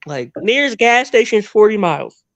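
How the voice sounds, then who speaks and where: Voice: mid-range voice, very animated, normal speed, no echo, highs cut off. An interview guest from New Jersey with a half-Northern, half-Southern American accent, telling rambling stories in a chatty way with frequent laughs. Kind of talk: casual storytelling chat.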